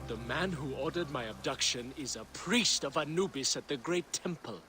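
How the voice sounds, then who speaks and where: An actress playing a guarded, wounded young Egyptian captive in a dramatic video game cutscene. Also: female voice, extremely low pitch, expressive, normal speed, sharp tone, full character voice. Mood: somber. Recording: game music behind voice.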